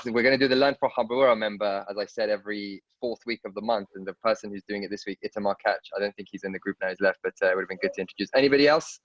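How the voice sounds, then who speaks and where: A man hosting an online class, answering briefly and politely and calling on participants. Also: fairly low pitch. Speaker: class host. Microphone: video call. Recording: dull dry video call audio.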